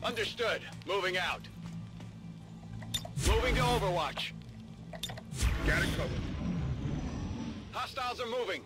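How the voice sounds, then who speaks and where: Terse sci-fi game soldier: dutiful, clipped military barks, high pitch, lively inflection. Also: male voice, a little slow, long pauses, over loud music. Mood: clipped, alert. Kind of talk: video game combat barks.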